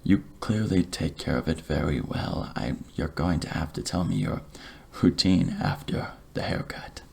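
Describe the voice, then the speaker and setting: A man speaking softly in a breathy half-whisper, gentle and courteous, as a barber in an ASMR roleplay. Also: very deep voice. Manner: gentle, courteous, unhurried reassurance. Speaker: ASMR creator playing a night-shift barber.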